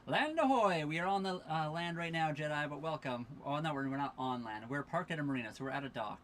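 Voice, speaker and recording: A man's voice: fairly high pitch, lively intonation, fast, a bit bright, slight background noise. A tired young man casually chatting on a livestream from his sailboat at a marina, reading viewer questions aloud and thanking followers. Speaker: livestream host. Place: sailboat cabin at a marina dock.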